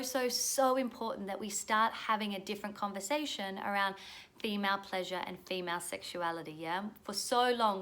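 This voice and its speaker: A woman coach talks informally and with real passion, her voice hoarse and fraying at the edges. She sits in a middle pitch and keeps turning statements into gentle questions. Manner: earnest and passionate, often ending with a questioning "yeah?". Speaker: pleasure coach. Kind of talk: informal motivational video talk.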